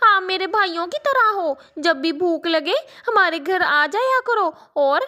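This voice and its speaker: Sweet little sparrow girl voiced by a woman, very high voice, cartoon story. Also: expressive, unhurried, sharp tone, full character voice. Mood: kind. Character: innocent, kind young sparrow girl.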